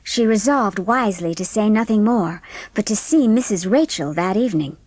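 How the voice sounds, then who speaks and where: A woman narrates a storybook in scripted audiobook style. Her voice sits at a middle pitch, and the sound is dry with no room echo. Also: animated, moderate pace, a little mellow.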